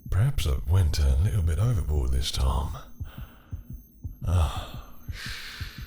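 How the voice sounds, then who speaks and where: A man's voice: extremely low pitch, expressive, noisy background, exaggerated voice. A man playing a dominant, possessive vampire in an intimate audio roleplay, taunting and seductive in a theatrical way. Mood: soft possessive. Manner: slow, taunting, seductive, theatrical, sighing between phrases. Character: dominant, possessive male vampire, smugly menacing.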